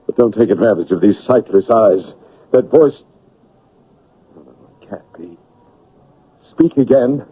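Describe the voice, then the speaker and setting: A man playing a brooding, proud, blinded English gentleman in an old radio drama, with theatrical, halting delivery. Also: fairly low pitch, expressive, husky, full character voice. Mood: agitated, suspicious. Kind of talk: 1970s-80s radio drama acting. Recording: narrow, tinny old radio broadcast.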